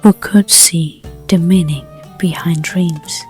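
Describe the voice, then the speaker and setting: The woman on a sleep-story track late at night, lowish voice, gently murmuring each phrase very slowly over music. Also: steady tone. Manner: gentle, soothing, hushed, unhurried phrasing. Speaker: narrator. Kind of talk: slow bedtime story narration. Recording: soft music under the voice. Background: background music.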